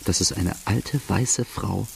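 An actor playing a brooding outlaw who gravely narrates his own life story in a radio drama. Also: lowish voice, takes it slowly, a little hiss or hum, full character voice, rough recording. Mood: grave, haunted. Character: brooding young male Irish-Australian outlaw telling his life.

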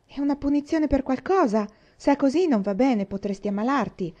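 A woman's voice: medium pitch, wildly swooping pitch, measured pace, dark tone, highs cut off. A woman reading aloud voices an exasperated older boy scolding his sister.

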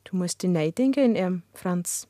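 A woman's voice: on the low side, lively intonation, moderate pace, dark tone, dry recording, studio quality, lightly acted. An actress playing a defiant, coaxing young village woman with a Bavarian accent in a radio drama, frank and unapologetic. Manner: cajoling, unapologetic. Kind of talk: German dialect radio drama acting.

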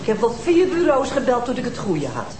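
A woman's voice: on the high side, wildly swooping pitch, brisk, background noise. A woman acting an outspoken, snobbish sister of a murder victim, indignant and scornful in a TV drama. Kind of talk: naturalistic TV crime drama dialogue.

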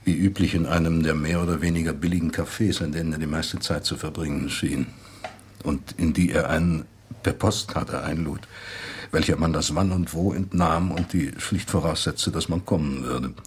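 A man playing a thoughtful acquaintance recalling a late friend in a measured, articulate radio drama monologue. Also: very deep voice, steady tone, husky, exaggerated voice. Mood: reminiscing.

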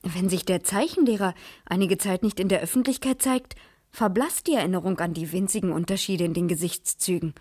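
An actress playing a sharp, insistent female private detective who probes and reasons, in a German radio crime drama. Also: on the low side, a little fast, dry recording, studio quality, lightly acted. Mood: reasoning. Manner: probing, insistent.

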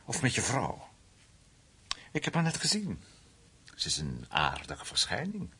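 A man's voice: on the low side, huge pitch swings, unhurried, pushed and bright, slightly rough, full character voice. A man acting in a radio play as a hard, vengeful investigator, menacing and sardonic as he interrogates. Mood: quietly menacing. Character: hard, vengeful male investigator, survivor of Nazi camps.

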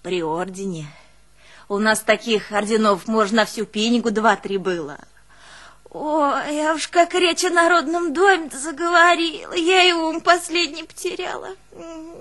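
A woman's voice: high voice, exaggerated voice. An actress playing an artless, emotional peasant woman with a northern Russian rural dialect, telling her life in a theatrical folk monologue. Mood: emotional, confiding. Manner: folksy, expressive, exclaiming and musing aloud. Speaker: stage actress in a one-woman play.

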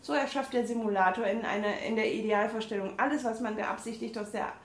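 A woman with a German regional dialect co-hosting a casual talk show, reading a book aloud with halting, amused asides. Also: a little high. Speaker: podcast co-host reading from a book. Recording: echoey room with faint noise.

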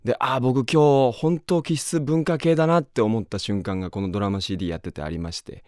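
A man's voice: medium pitch, fast, darkish tone, dry recording, clean studio sound. A man who works as a voice actor, giving a rambling, reflective cast comment off the cuff.

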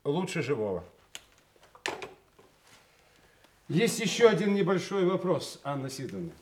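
A man acting in a Soviet radio play as a brisk party secretary, speaking in official phrases with dry, authoritative irony. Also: on the high side, sing-song, a little mellow, a little hiss or hum. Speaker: actor.

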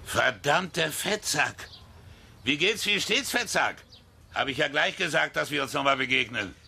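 An actor playing a brutish small-town bully in a German radio drama, raspy, coarse, taunting and threatening. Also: male voice, fairly high pitch, animated, unhurried, sharp tone, full character voice. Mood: gloating menace.

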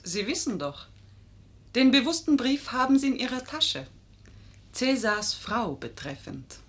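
A woman's voice: on the high side, very animated, measured pace, a bit bright. An actress playing a clever, poised, flirtatious lady in a theatrical, articulate 1950s radio play style. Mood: sly.

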